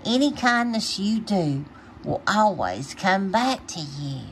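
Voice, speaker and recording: A woman voicing a wise, gentle father bear in a children's storybook, measured and teacherly. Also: mid-range voice, sing-song, slight background noise. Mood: wise, reassuring.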